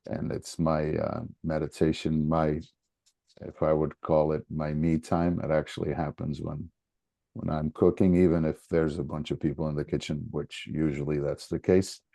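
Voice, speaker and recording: A man from Portugal with a Portuguese accent, talking casually as a guest in a hesitant, halting way. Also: rumbling low, flat delivery, vocal fry, mellow, no echo, studio quality. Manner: hesitant, halting, repeating words. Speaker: podcast guest, soccer coach. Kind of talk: casual conversation.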